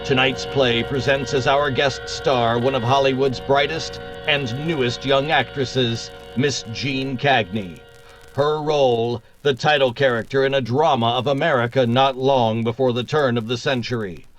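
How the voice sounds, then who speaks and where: A man playing a formal 1940s radio announcer who introduces thrillers in a stately, dramatic, deliberate manner. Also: medium pitch, steady tone, over music, lightly acted, poor audio. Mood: formal, suspenseful.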